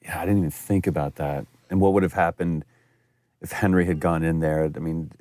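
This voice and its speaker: A man's voice: sits low, steady tone, brisk, darkish tone. A man chatting casually as a commentator over game cutscenes.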